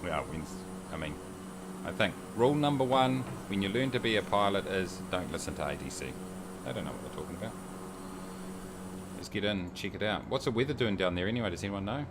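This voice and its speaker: A man's voice: low-pitched, animated, brisk, background noise. A man chatting casually on a livestream, joking and reading viewer comments aloud as he flies. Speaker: streamer. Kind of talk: casual livestream chat while flying a sim.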